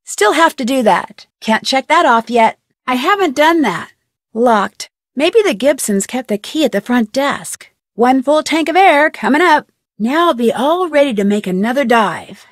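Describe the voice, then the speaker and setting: A woman playing an upbeat, curious young female detective in a video game, youthful, perky and earnest, with clear diction. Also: high voice, lively intonation, a little slow, no echo, clean studio sound. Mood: musing, matter-of-fact. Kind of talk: scripted video game voice acting, thinking aloud.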